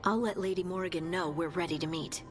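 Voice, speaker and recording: Woman acting as game scout, lower voice, businesslike, long reverb, faint music. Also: steady tone, fast talker, natural voice. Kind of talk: dramatic video game acting.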